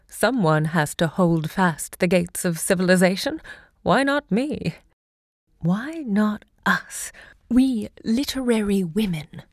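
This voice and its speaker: An actress playing a grand, impassioned woman who hosts a literary salon, looking back in deliberate, theatrical monologue. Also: mid-range voice, no echo, clean studio sound, natural voice. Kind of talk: theatrical audio drama monologue.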